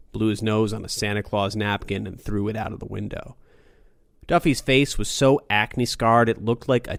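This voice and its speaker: A man's voice: fairly low pitch, vocal fry, dry recording, studio quality. A man reading a first-person noir story as an audiobook narrator, in a gritty, dramatic manner.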